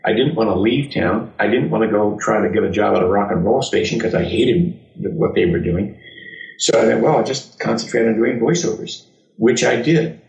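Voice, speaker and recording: A man's voice: on the low side, normal speed, vocal fry, a little mellow. Echoey, distant older American man telling stories. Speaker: voice actor and former radio announcer. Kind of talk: autobiographical storytelling to an audience. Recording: echoey room, distant mic.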